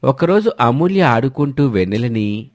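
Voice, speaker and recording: A man's voice: medium pitch, sing-song, moderate pace, a little mellow. A man reading a scripted family drama story as the storyteller, in a dramatic narrating style.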